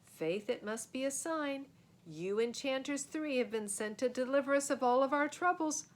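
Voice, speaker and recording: A woman's voice: high voice, animated, moderate pace, voice pushed up. A woman voicing an earnest, courtly male knight in a storybook, speaking formally and archaically. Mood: reverent, earnest.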